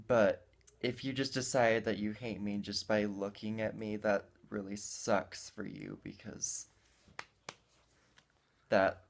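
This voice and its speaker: Low, creaky-voiced young man chatting. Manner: earnest, informal. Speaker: online video creator. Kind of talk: casual chat.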